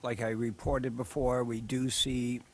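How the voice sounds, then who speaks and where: A man giving a cable advisory board update at a town meeting, hoarse from losing his voice, hesitant with many fillers. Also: mid-range voice, level tone, unhurried, a little hiss or hum. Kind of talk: report at a public meeting.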